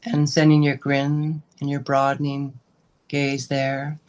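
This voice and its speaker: A woman guiding a meditation as a teacher, giving unscripted gentle instructions in short, unhurried phrases with pauses between them. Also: extremely low pitch, completely flat delivery. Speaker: meditation and yoga teacher leading a guided session.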